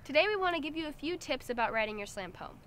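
A teenage girl reads a scripted school announcement outdoors in a bright, upbeat manner. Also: high-pitched, sing-song, quick pace, a little hiss or hum. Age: teens. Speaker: student announcer. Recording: faint outdoor ambience.

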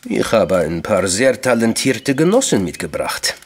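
Commanding male secret agent, very expressive pitch. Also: unhurried, slightly rough, full character voice. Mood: cool, guarded. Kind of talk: crime radio drama acting.

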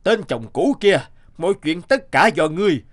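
Man acting a stern high-voiced cartoon judge. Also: animated, moderate pace, close to an everyday voice. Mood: solemn, ruling. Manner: authoritative, declamatory. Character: stern commanding male judge passing sentence. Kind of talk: dubbed cartoon fairy tale acting.

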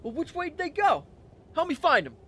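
Man acting an excitable, high-pitched local cop. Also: brisk, exaggerated voice. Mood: flustered shouting. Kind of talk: radio drama acting.

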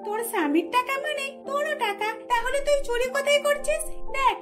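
A woman's voice: very high-pitched, fairly quick, music in the background, voice pushed up. A woman voicing a meddling young female friend in a cartoon, coaxing and insistent. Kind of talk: cartoon dubbing.

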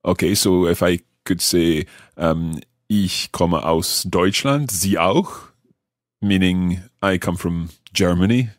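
A man co-hosting a language lesson as the learner, asking questions and slowly repeating phrases. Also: low-pitched, no room sound, clean studio sound. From Scotland. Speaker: learner co-host.

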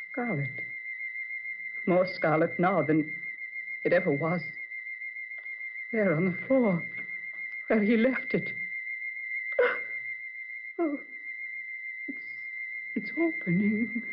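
An actress in a 1940s radio horror drama playing a frightened young nurse, halting, breathless and dramatic. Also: female voice, fairly low pitch. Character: frightened young nurse haunted by a dead man. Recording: muffled, noisy old radio recording.

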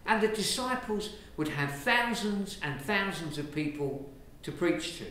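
An Englishman, a priest, giving a lively, conversational sermon from the pulpit of a large church, filmed from a distance. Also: sits high, unhurried. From England. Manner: lively, conversational preaching with gestures. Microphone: far camera. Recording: very echoey church from far off, faint hiss.

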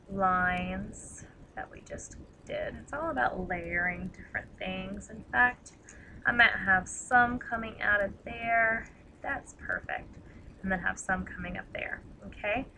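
A woman with a tired, slightly raspy voice chatting casually through a craft tutorial, rambling and self-deprecating as she works. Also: medium pitch, bright, forceful tone, slight background noise, poor audio. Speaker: craft demonstrator.

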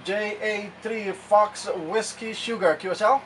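High-voiced man on ham radio, very noisy. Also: fairly even intonation, unhurried. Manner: clipped, repetitive call-sign phrasing. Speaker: amateur radio operator. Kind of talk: amateur radio satellite contact calls. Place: indoor radio room. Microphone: camera mic away from the speaker. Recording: distant mic, loud noise, clicks.

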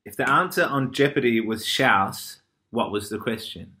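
A man in his thirties with a New Zealand accent, a musician guest reading out questions plainly and chatting in a relaxed way, recorded indoors by a window on a camera at arm's length. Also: mid-range voice, moderate pace. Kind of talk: casual chat.

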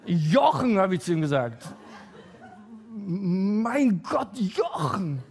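High-voiced hesitant stand-up comedian. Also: male voice, creaky. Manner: halting, hesitant delivery with many pauses and fillers; deadpan, growing excited and loud toward the end.

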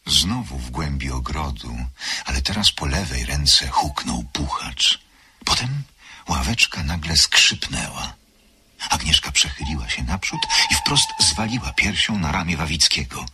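Male radio drama narrator with a very deep but bright voice and a measured, literary delivery. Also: lively intonation, a little hiss or hum.

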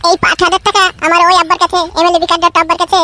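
A man voicing a cheeky, boastful little boy in an amateur cartoon, with a pitch-shifted voice. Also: little pitch movement, very fast, pushed and bright, a little hiss or hum, full character voice. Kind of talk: amateur cartoon comedy dialogue.

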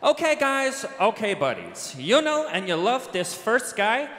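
An actor playing a silly dead Hungarian composer hosting a chat show, in a put-on Hungarian accent. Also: male voice, high voice, big pitch swings, measured pace, fairly bright tone, heavily acted. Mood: goofy. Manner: silly, playful talk-show host banter. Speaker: comedy sketch character. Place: large concert hall stage. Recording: concert hall reverb with music.

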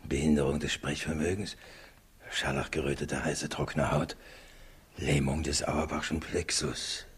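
An actor playing a shaken, learned professor in a 1960s radio crime drama, hesitant and formal, with a gravelly, husky voice. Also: male voice, very deep voice, little pitch movement, a little slow, slight background noise. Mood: grave, clinical. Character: shaken, learned middle-aged or older professor.

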